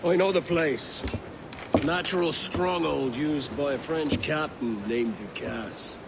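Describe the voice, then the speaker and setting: An actor playing a gruff, shrewd veteran pirate in a video game, with a laconic, drawling manner. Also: male voice, on the high side, takes it slowly, background noise. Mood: measured. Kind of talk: video game cutscene acting.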